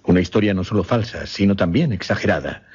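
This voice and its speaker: Husky, low-voiced courteous gentleman. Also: fast. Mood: grave, earnest. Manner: polite, hesitant, formal. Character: courteous, earnest gentleman host, troubled by a scandal. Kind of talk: Spanish-language radio drama acting. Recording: muffled, lo-fi radio drama recording.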